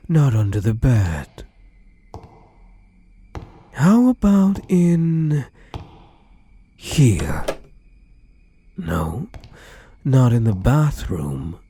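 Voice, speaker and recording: Breathy, possessive yandere groom, acted by a man. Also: lowish voice, warm tone, full character voice. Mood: teasing, hunting. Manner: doting endearments laced with menace. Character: obsessive possessive male stalker groom, sweetly menacing captor. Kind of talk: ASMR roleplay audio drama, addressing the listener.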